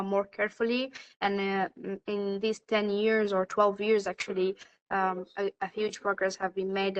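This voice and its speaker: A woman's voice: medium pitch, poor audio. A woman from Sudan, a native Arabic speaker, speaking as a youth climate activist on a panel, with many fillers and restarts. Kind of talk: panel discussion.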